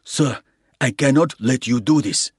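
A man's voice: mid-range voice, measured pace, darkish tone, slightly rough, no echo, studio quality, voice pushed up. A man voicing a huge, polite hotel porter who speaks in a formal, pleading way.

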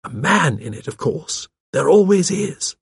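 A gentle old spinster, played by a male narrator, musing primly in a raised, slightly husky voice. She is shrewd and digressive, a gossipy village lady from an audiobook mystery. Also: fairly high pitch, big pitch swings, a little slow, dry recording, studio quality, voice pushed up, exaggerated voice. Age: older. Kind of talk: audiobook character dialogue.

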